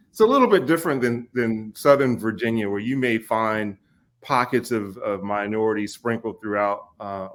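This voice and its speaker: A man in his forties from Kentucky, a podcast guest telling stories in a relaxed way, laughing often and pausing with fillers. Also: medium pitch. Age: 40s. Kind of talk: casual storytelling conversation.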